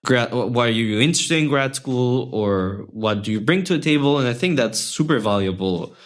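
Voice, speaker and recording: A man's voice: medium pitch, lively intonation, moderate pace. A young man whose first language is Spanish, a podcast interview guest speaking in a friendly, reflective way, often restarting his sentences. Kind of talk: casual interview conversation.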